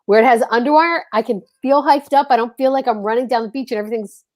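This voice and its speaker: Effusive American woman with a higher voice. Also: fast, a little mellow. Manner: effusive, emphatic. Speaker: host. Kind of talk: casual chat interview.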